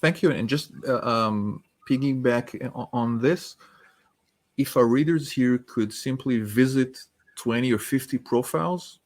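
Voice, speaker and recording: Guy from france, french native, calm guest laying out his points step by step. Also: medium pitch. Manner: measured, structured, poses questions. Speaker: author and guest. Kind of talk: interview answers.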